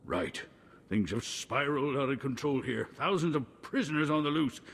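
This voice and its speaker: An actor playing a pompous politician in a video game, with grandiose, theatrical patter. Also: male voice, mid-range voice, animated, normal speed, vocal fry, a bit bright, breathy edge, full character voice. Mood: alarmed. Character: pompous, smooth-talking male politician, a senator. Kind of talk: video game cutscene acting.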